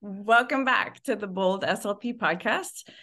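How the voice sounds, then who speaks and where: A woman's voice: mid-range voice, unhurried, over loud music. A woman hosting a podcast and interviewing a guest. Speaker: podcast host.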